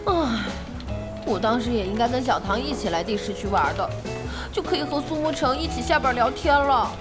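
An actress in a Chinese audio drama playing an eager, naive young woman who bosses a gaming team, expressive and girlish. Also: a little high, brisk, natural voice. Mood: curious, chatty. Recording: studio drama with music behind.

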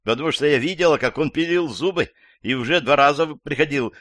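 A man's voice: on the high side, brisk, fairly bright tone, no room sound, clean studio sound, pitched above the performer's own voice, close to an everyday voice. A man voicing a frightened, frantic elderly woman in an audiobook, crying out in panic. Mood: panicked. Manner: panicky, breathless rattling outcries.

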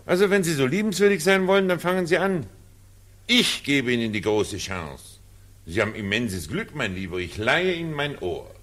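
An actor playing a pompous, evasive cabaret director in a 1940s radio drama, talking in a condescending, theatrical, patronizing way. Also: male voice, medium pitch, big pitch swings, moderate pace, a bit bright. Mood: impatient, pompous.

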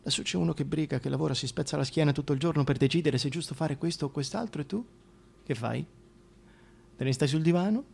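A man's voice: a little high, quick pace, gravelly, darkish tone, husky. An actor playing a scheming, sadistic dictator king in a theatrical radio monologue, crude, mocking and brooding, addressing the listener directly. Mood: smug musing.

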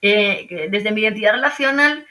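A woman's voice: mid-range voice, animated, moderate pace, pushed and bright. A woman with a Madrid Spanish accent explains ideas as an interview guest, emphatic, repeating phrases and checking in with tag questions. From Madrid, Spain. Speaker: interview guest, archaeologist and university professor. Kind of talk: explanatory conversation.

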